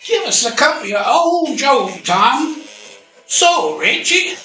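A folksy frontier old-timer for a radio western. The voice is high and piercing, with slow, stretched-out phrases. It is echoey and a bit off mic. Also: male voice, big pitch swings, pushed and bright, recorded from a distance, soft background music, full character voice. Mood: folksy, gloating. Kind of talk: amateur western radio drama acting.

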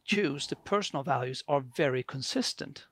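A man's voice: mid-range voice, lively intonation, normal speed, a bit bright, slightly rough, no room sound. A man from Sweden with a Swedish accent, a guest explaining his work in a hesitant, filler-heavy way. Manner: hesitant, many fillers and restarts. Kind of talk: conversational explanation.

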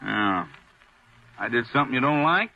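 A man's voice: medium pitch, animated, moderate pace, creaky. A man acting a cynical, wisecracking hotel detective in 1940s radio style, sardonic, slangy and needling. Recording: muffled vintage radio recording.

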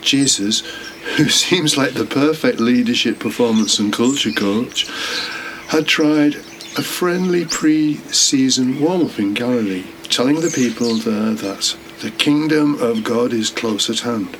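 A man's voice: mid-range voice, measured pace. A man delivering a scripted, sermon-like religious reflection as a podcast host. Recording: clear background noise.

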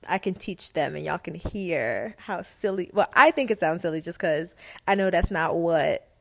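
Young woman podcast host from Guyana, lower voice, mostly American accent, casual and self-amused. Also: animated, moderate pace, creaky, rough recording. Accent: light American, Guyanese traces. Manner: chatty, laughs at herself, many "um" and "like". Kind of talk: casual solo podcast chat.

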